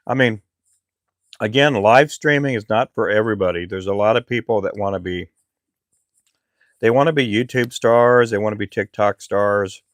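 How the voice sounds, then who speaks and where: Middle-aged man, low and gravelly, easygoing rambler, dry close recording. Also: no room sound. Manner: relaxed, rambling, frequent fillers. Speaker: lure maker live streamer. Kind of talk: casual live stream chat.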